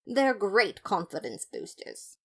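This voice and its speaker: A woman with a medium-pitched voice narrates an audio story. She delivers first-person detective narration in a dramatic, mock-serious way, playing up the comic noir style. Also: very animated, slow pace, fairly bright tone, slightly rough. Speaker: fan fiction narrator.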